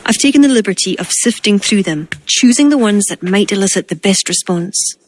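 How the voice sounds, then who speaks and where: A shrewd Irishwoman advising a royal court, played by an actress in a video game. Her Irish-accented voice sits at a medium pitch and is playful and self-possessed. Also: lively intonation, fairly quick, close to an everyday voice. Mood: businesslike. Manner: teasing, assured. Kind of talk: video game cinematic acting.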